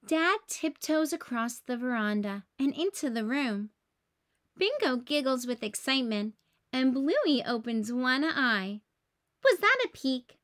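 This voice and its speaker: Woman, children's read-aloud, unhurried, very lively intonation, bright tone, expressive. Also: high voice. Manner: expressive, acts out the dialogue. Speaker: teacher reading aloud.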